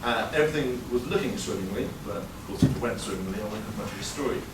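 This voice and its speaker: A man's voice: lowish voice, fast talker, heavy background noise. Man telling a wry story in a roomy live venue. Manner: conversational, wry, many fillers. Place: performance venue before an audience. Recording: roomy live sound, mic far off.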